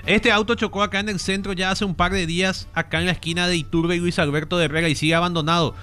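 A man's voice: high-pitched, steady tone, fast talker, pushed and bright. A man, hosting a casual radio chat and often murmuring along as others talk. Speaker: radio host.

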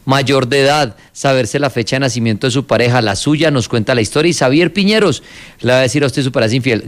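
A Colombian man runs a live stream in a relaxed, slangy manner. His voice sits at an ordinary middle pitch, and he jokes easily with his co-hosts and callers. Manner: loose, joking, slangy. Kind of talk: casual live stream chat.

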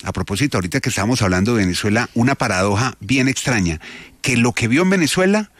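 A man's voice: mid-range voice, animated, quick pace, a bit bright. A man from Colombia hosting an afternoon radio talk show, chatting and reading news items aloud. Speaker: radio host.

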